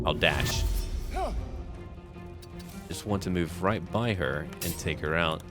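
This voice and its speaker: A man giving casual let's-play commentary, thinking aloud and chatting to the characters on screen as he plays. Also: low-pitched. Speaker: gaming video host playing and narrating.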